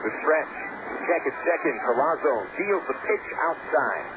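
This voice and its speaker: A male radio announcer calling a baseball game in a steady, measured play-by-play style. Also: on the high side. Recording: tinny AM radio broadcast with loud hiss.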